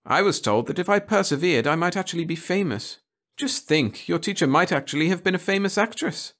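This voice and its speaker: A genteel older schoolteacher, played by a man in an audiobook story. She is prim and gushing, pitched well up, with theatrical pretensions. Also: animated, brisk, fairly bright tone. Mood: prim, proud.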